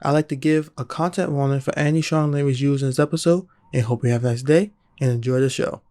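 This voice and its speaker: A man's voice: mid-range voice, fairly even intonation, fast talker, mellow. Man reading a podcast welcome. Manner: plain, read-out welcome. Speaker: podcast host. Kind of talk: scripted show introduction.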